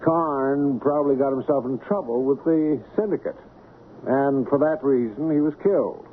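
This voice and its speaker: An actor playing a guarded, genteel shipping boss in an old radio mystery, formal, deliberate and halting. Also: male voice, medium pitch, close to an everyday voice. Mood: smooth, insinuating. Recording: narrow, noisy old radio broadcast.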